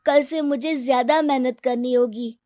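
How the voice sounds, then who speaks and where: Woman voicing an earnest high-pitched young boy. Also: fairly even intonation, moderate pace, mellow, no echo, clean studio sound, lightly acted. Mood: determined. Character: earnest poor young boy, hardworking and grateful.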